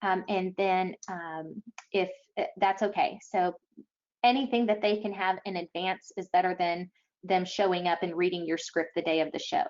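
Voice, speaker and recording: A woman's voice: on the low side, fairly even intonation, normal speed, a little mellow. A woman presenting event rules in an informational webinar briefing, speaking somewhat hesitantly and correcting herself as she goes. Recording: dull, lo-fi webinar audio.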